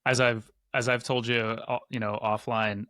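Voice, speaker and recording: A man co-hosting a conversation about poetry, speaking in a halting, hedging way with many restarts and fillers. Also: mid-range voice, little pitch movement, bright, forceful tone, no room sound, clean studio sound. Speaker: podcast co-host. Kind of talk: conversational chat and poetry reading.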